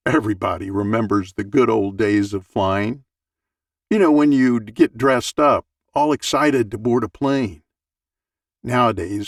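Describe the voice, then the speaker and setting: A man in his sixties with a gravelly voice, reading a humorous first-person essay as an audiobook narrator in a wry storytelling manner. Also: fairly low pitch, dry recording, studio quality, distant mic. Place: small dark home recording booth, dry.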